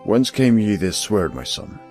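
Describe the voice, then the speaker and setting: A man's voice: on the low side, big pitch swings, a little slow, darkish tone. A man playing a worthy, grave middle-aged father in a storybook tale, speaking solemn archaic English over music. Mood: questioning. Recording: music under the voice.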